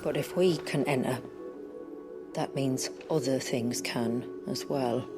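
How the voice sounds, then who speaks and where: Low-voiced, stoic woman guide. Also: slow, loud music behind the voice. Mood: grave. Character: stoic, world-weary woman guide of a dwindling tribe. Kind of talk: video game cutscene acting.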